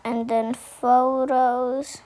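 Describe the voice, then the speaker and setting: A woman giving a casual how-to tutorial, speaking haltingly and repeating herself as she thinks aloud. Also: sits high, flat delivery, a little mellow, poor audio. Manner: halting, repetitive, thinking aloud while demonstrating. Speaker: tutorial host.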